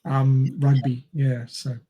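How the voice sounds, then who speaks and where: A man's voice: medium pitch, completely flat delivery, takes it slowly, dark tone, breathy edge. A man with an Australian accent hosting a casual quiz among friends, reading out questions and chatting. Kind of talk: casual group quiz chat.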